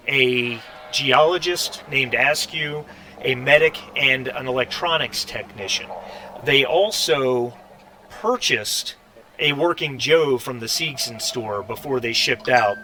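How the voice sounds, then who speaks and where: A man speaking haltingly and deliberately as game master, narrating a tabletop role-playing game. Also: medium pitch, bright, forceful tone, slight background noise.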